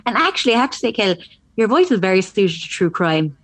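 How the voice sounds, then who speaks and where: A woman's voice: medium pitch, very animated, fast talker. An Irish woman co-hosting a podcast, chatting casually in an enthusiastic, emphatic way. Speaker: podcast co-host. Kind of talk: casual chat.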